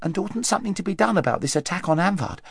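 Guy doing a earnest young boy voice, pitched way up. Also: sing-song, quick pace, gravelly, a bit bright, husky, no room sound, studio quality, exaggerated voice.

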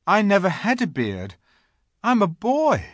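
A man's voice: sits high, huge pitch swings, measured pace, dry recording, clean studio sound, voice pushed up, full character voice. A man voicing a timid, polite young boy in an audiobook, meek, deferential and hesitant. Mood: nervous, meek. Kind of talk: audiobook character voice.

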